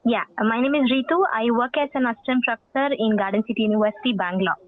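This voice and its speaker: A woman's voice: on the high side, fast talker. A woman with an Indian accent formally putting a question at an online seminar. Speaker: assistant professor asking a question. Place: online call. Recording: tinny phone-like call audio.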